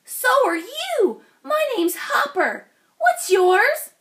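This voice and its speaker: A woman's voice: very high-pitched, very animated, slow, fairly bright tone, pitched above the performer's own voice, heavily acted. A woman voicing a naive young male frog from a picture book, dramatic and exclaiming. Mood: eager.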